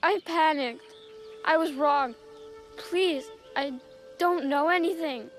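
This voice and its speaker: A woman voicing a frightened, guilt-ridden child soldier in a video game, halting and pleading. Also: high-pitched, soft background music. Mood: panicked, pleading. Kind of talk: dramatic video game cutscene acting.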